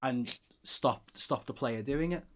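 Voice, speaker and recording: A man's voice: medium pitch, slow. A man with a Scouse accent hosting a podcast in casual chat, rambling and self-deprecating with lots of fillers. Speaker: podcast host.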